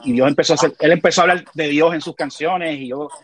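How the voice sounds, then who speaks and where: A man with a Puerto Rican accent, a podcast guest telling animated stories in a casual conversation. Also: fairly high pitch, quick pace. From Puerto Rico. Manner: animated storyteller, frequent restarts.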